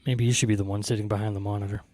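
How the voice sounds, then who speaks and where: A man's voice: on the low side, flat delivery, very fast, a little mellow, dry recording. A man co-hosting a podcast live stream in casual chat, joking around and reading out viewer comments. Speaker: podcast co-host.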